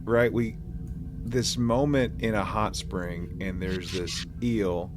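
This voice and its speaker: A man co-hosting a podcast in casual chat, halting as he pieces his thoughts together with many pauses. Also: lowish voice, steady tone, background noise. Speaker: podcast co-host.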